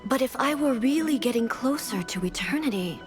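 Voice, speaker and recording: A woman voicing a dignified immortal ruler in a video game, speaking in a measured, formal, thoughtful way. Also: fairly high pitch, animated, over music, close to an everyday voice. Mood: solemn, reflective.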